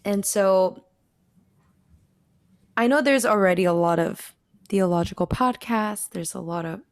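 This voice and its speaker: A woman's voice: mid-range voice, a little slow, no room sound, clean studio sound. A woman from the US Pacific Northwest hosting a casual podcast, playful and often laughing. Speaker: podcast host.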